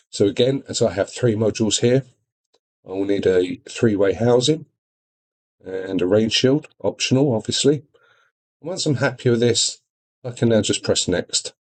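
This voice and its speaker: A man with a fairly low voice talks through a software demonstration. He explains each step informally, as a product trainer would in a screen tutorial. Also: low-quality recording.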